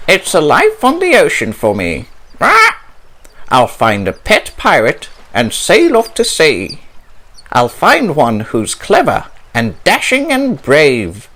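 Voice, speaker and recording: A man's voice: on the high side, wildly swooping pitch, takes it slowly, bright, forceful tone, voice pushed up, full character voice. A man voicing a fussy, determined female parrot in a storybook, squawky and exclaiming, with bird squawks. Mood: bold, hopeful.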